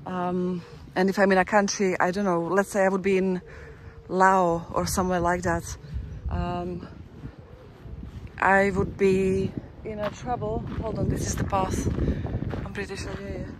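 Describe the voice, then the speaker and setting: A woman talking to her camera as she strolls outside, chatty and rambling, stopping to restart her sentences. Her voice sits at an ordinary middle pitch. Faint street noise and the odd passing car sit behind her. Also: level tone, unhurried, low-quality recording. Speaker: travel vlogger. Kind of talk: casual walking-tour vlog commentary. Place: outdoors on town streets. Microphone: handheld camera while walking.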